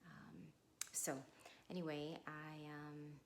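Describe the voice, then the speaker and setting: Low-pitched middle-aged woman, measured delivery. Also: monotone, slight background noise. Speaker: guest reader. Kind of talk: informal introduction, then literary read-aloud.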